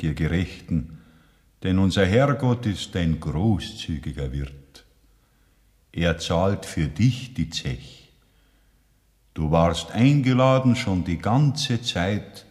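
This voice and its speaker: A solemn preacher, in a long reverb. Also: male voice, deep voice, darkish tone. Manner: solemn, sermon-like. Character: solemn preacher-like voice, consoling a sinner. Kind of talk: 1960s radio drama acting.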